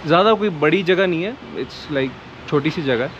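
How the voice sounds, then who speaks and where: A man's voice: fairly high pitch, expressive, moderate pace, fairly bright tone, muffled recording, a little hiss or hum. A man in his forties filming a casual travel vlog at outdoor ruins, talking to a handheld camera and slipping English words into his Hindi. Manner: chatty, mixes English into his Hindi, says "yaar" often. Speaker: travel vlogger. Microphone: handheld camera at arm's length.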